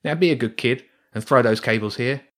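A man's voice: mid-range voice, brisk, a bit bright, lightly acted. A man voicing a greedy, pushy male rat workman in a children's storybook, with a cocky, wheedling manner.

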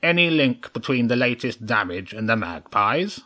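A man's voice: mid-range voice, sing-song, moderate pace, pushed and bright. A man voicing a stern, cold chairman crow in a children's audiobook, curt and authoritative. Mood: cool, questioning.

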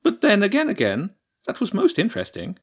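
A man's voice: high-pitched, huge pitch swings, a little fast, slightly rough, pitched above the performer's own voice, full character voice. A man voicing an impatient, sardonic female badger scientist in a storybook reading, dry and mocking. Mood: curious, sly. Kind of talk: audiobook character voice.

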